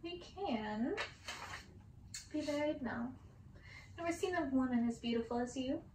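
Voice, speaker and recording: A woman's voice: high-pitched, unhurried, reverberant, distant mic, background noise. A woman voicing a vain young prince, earnest and haughty, in a storybook reading. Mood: pleading. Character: vain young prince, smitten then indignant and fearful.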